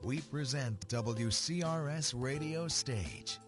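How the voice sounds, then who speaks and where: A man presenting a radio drama with a deliberate, dramatic, scripted introduction. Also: mid-range voice, expressive. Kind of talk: scripted old-time radio style introduction. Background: music.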